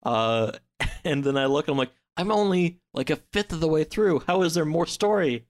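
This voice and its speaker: A man speaking as a podcast guest, discussing a book in a casual, halting, conversational way. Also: fairly high pitch, animated.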